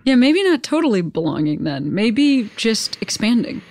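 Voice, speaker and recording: A woman hosting a podcast, speaking informally and haltingly as she thinks aloud in a casual interview. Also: fairly high pitch, sing-song, a little mellow, no echo. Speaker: podcast host.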